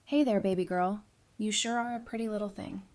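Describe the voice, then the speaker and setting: A woman playing a brave, practical survivor with dry warmth in an audio drama. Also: mid-range voice, fairly even intonation, brisk, close to an everyday voice. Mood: playful, tender. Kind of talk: fan audio drama acting.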